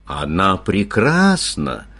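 A man's voice: low-pitched, wildly swooping pitch, extremely slow, a bit bright, heavily acted. A man voicing the sun in a storybook tale, majestic yet modest, stately and regretful.

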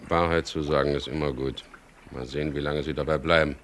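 An actor playing a wry, self-assured boat skipper who narrates a crime story in a 1970s German radio drama. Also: male voice, rumbling low, steady tone, quick pace, fairly bright tone. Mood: commanding, mocking. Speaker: lead actor and first-person narrator. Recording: noisy old radio drama recording.

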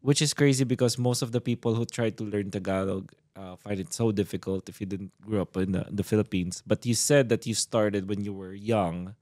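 A man's voice: on the low side, fairly even intonation, brisk, few pauses, darkish tone, no room sound, studio quality. Informal male podcast host, hesitant phrasing. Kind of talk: casual interview chat.

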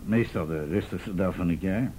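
A man acting in a radio drama as a droll, easygoing police officer, deadpan and teasing with hesitant pauses. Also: close to an everyday voice. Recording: noisy rumbly radio drama recording.

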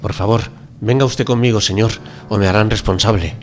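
A man acts out a deferential ship's doctor in a radio drama. He has a lowish voice and explains things with formal, fussy courtesy. There is faint background noise around him. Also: steady tone, fairly quick, lightly acted. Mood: insistent, anxious. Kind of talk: amateur radio drama reading.

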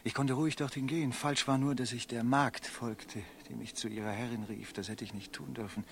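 A man's voice: medium pitch, fairly even intonation, a little fast, gravelly, lightly acted. A male actor playing a brooding, fatalistic merchant in a German radio play, speaking in a grave, dreamy and dramatic way. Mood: brooding, regretful. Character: haunted merchant, grown man, brooding, fatalistic. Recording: vintage radio recording with faint noise.

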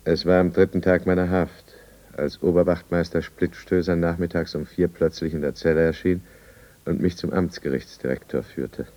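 A man's voice: sits low, steady tone, normal speed, mellow. A man playing a proud, anxious and embittered prisoner in a 1950s German radio drama, formal and intense. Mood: bitter, narrating. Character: anxious, proud, embittered male prisoner telling his downfall.

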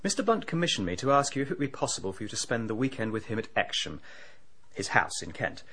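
A man's voice: mid-range voice, very animated, fast talker, a bit bright. An actor playing a polite, efficient male private secretary in a radio drama, formal and obliging in manner. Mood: polite, businesslike. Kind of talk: BBC radio drama acting.

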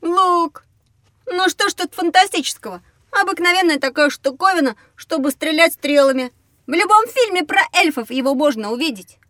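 A woman playing a curious young boy in a children's educational audio drama, with wondering, expressive storytelling. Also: very high-pitched, moderate pace, no room sound, studio quality. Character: curious young boy on a time-travel adventure.

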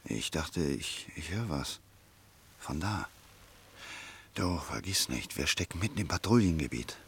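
An actor playing a bossy, nervous and irritable vagabond who bickers and gives orders in a radio play. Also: male voice, rumbling low, animated, unhurried, gravelly, fairly bright tone, slight background noise, full character voice. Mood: tense, hushed. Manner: bickering, commanding, agitated. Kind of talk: German radio play acting.

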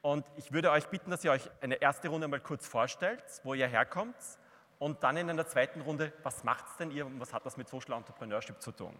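A man's voice: medium pitch, brisk, fairly bright tone. A man moderating a workshop panel in a conference hall, prompting the panelists informally. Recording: long hall reverb, faint noise.

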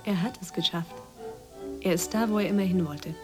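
A bitter, wounded woman speaks in a hushed, reproachful tone, played by an actress. The voice is slightly low, set in a radio drama with soft music behind. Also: normal speed, close to an everyday voice. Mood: guarded, hurt. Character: bitter, wounded woman cornered by secret police. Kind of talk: German radio play acting.

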